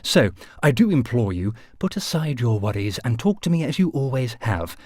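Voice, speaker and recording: A man voicing a proud, sharp-witted detective who is ill but defiant, speaking in a clipped, commanding way. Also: medium pitch, sing-song, moderate pace, no echo, clean studio sound. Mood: insistent.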